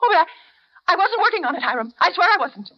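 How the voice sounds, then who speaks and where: An actress playing a frightened, trapped American spinster in a 1940s radio suspense play, breathless, faltering and pleading. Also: female voice, extremely high pitch, sing-song, fast. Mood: flustered, frightened. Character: terrified, trapped middle-aged spinster keeping a diary. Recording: muffled 1940s radio recording, faint music.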